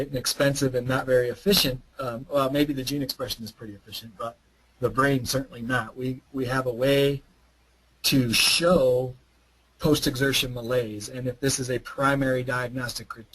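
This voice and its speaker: A hoarse man, a researcher, answering webinar questions in a measured, explanatory way. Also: mid-range voice, a bit bright, a little hiss or hum, poor audio.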